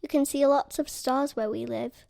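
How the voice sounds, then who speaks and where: A young girl with a high, gravelly voice reads a short prepared text. She reads softly and carefully. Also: fairly even intonation, normal speed. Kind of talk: reading a prepared statement.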